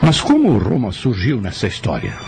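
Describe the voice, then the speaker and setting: A man's voice: lowish voice, huge pitch swings, moderate pace, gravelly, a little mellow, exaggerated voice. A man playing a curious seeker of ancient history in a scripted radio drama. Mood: inquisitive. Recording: faint music under the voice.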